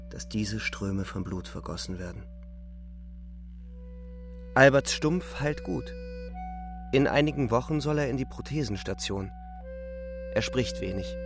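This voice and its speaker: A weary young soldier reflects on war in a radio play monologue. His voice is low with a gravelly creak, and his delivery is grave, deliberate and despairing. Music runs softly beneath. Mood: anguished. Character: twenty-year-old German soldier, weary, despairing, reflective. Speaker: narrator.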